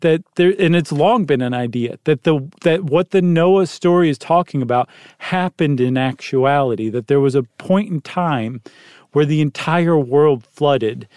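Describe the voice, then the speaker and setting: A man's voice: on the high side, a little mellow, dry recording, clean studio sound. A man co-hosting a podcast, chatting casually with jokes and rambling asides. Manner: joking, rambling, playful asides. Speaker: podcast co-host. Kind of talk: casual chat.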